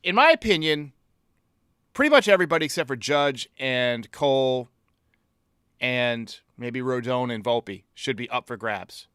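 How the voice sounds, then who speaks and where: A man's voice: mid-range voice, animated, a little slow, fairly bright tone, clear, resonant tone, no echo, studio quality. A man hosting a baseball fan podcast, giving blunt, sarcastic opinions as sports commentary.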